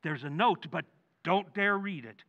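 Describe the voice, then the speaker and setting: An actor playing a blustering, gullible working-class husband with folksy, ungrammatical speech in an old-time radio comedy. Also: male voice, sits high, expressive, unhurried, lo-fi sound. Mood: indignant, curious.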